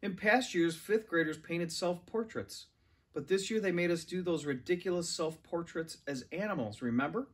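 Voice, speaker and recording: Male, thirties to forties, slightly higher-pitched voice, reading a kids' novel aloud at home. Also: moderate pace, a bit bright, slightly rough. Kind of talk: children's novel read-aloud. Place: large furnished living room, indoors. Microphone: camera or phone a few metres away.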